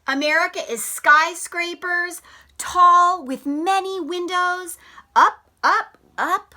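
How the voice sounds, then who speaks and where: High, bright-voiced woman teacher reading aloud. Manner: deliberate, expressive, explains with questions to children. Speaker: elementary school teacher. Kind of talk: read-aloud picture book lesson for children.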